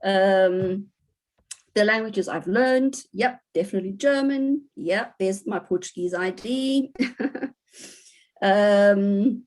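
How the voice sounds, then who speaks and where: A woman's voice: medium pitch, takes it slowly, darkish tone. A middle-aged woman from South Africa, a university lecturer, giving an online lecture in a chatty way with many fillers and picking up audience answers from the chat.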